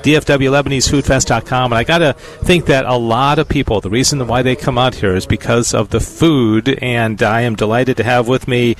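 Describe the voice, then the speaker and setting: Chatty enthusiastic man reporting live. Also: medium pitch. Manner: chatty, enthusiastic, halting restarts. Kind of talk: live on-location interview.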